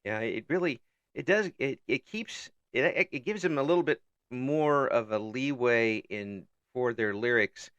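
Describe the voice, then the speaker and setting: Male interview host, bright tense tone, chuckly and stumbling, dry close sound. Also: mid-range voice, expressive, unhurried, clean tone, no echo, muffled recording. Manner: chuckles often, stumbles and repeats words. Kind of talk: casual interview chat.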